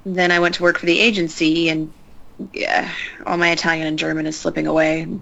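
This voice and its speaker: An actress playing a reserved woman scientist who gives calm, terse instructions over a radio link. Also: sits low, fairly quick, creaky, muffled recording. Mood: guarded. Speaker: scientist guiding a spacewalk. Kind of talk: audio drama acting.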